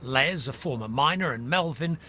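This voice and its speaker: A man's voice: medium pitch, lively intonation, moderate pace, bright, forceful tone, a little hiss or hum. A man working as a political correspondent, narrating a scripted news report.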